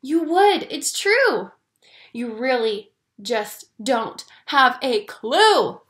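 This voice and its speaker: A woman's voice: high-pitched, sing-song, drawn-out words, fairly bright tone, full character voice. A woman voicing a desperate, panicked little male creature from a children's picture book, pleading and exclaiming dramatically. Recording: echoey room.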